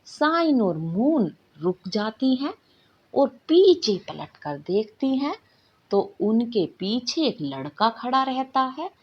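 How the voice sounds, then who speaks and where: A woman's voice: fairly high pitch, very animated, slow pace, dull audio, a little hiss or hum. A woman reading a scripted bedtime story as its narrator.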